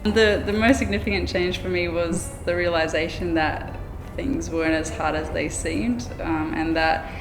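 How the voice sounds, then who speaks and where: A woman's voice: on the low side, fairly even intonation, normal speed, few pauses, music in the background. A woman, reflecting on her growth during an interview. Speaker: program graduate. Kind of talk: interview testimonial. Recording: echoey room with clear hiss.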